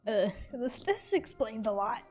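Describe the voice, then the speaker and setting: A woman's voice: fairly high pitch, big pitch swings, a little slow, darkish tone, soft background music. A woman giving casual gameplay reaction commentary, with playful, slangy asides. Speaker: game streamer.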